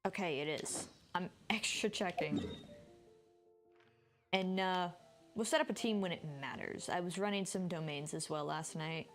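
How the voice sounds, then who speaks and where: A woman's voice: on the low side, a little slow. A woman chatting and thinking aloud as she gives casual live commentary on a game, sounding a bit tired. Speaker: game streamer. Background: game music.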